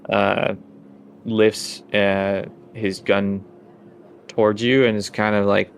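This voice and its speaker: A man with a fairly low voice narrates a tabletop game as game master. He improvises scenes conversationally, with faint noise in the background. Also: flat delivery, takes it slowly, creaky.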